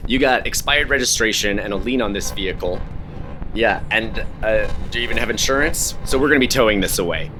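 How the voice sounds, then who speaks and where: A man plays an unimpressed cartoon traffic cop with a fairly low voice. He talks in a dry, halting deadpan. Clear street noise runs behind him. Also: close to an everyday voice. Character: deadpan, unimpressed male patrol officer. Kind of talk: animated puppet show dialogue.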